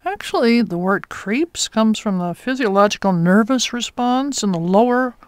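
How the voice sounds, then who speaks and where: An actress playing a pedantic, prim, know-it-all police detective in a comic radio mystery, with formal, precise diction. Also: female voice, a little high, lively intonation, normal speed, clear, resonant tone, no room sound, lightly acted. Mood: superior.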